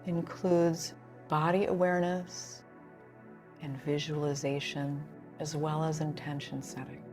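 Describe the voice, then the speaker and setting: A woman leading a guided relaxation as a yoga instructor, soothing and unhurried, with pauses between phrases. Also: sits low, little pitch movement, over music.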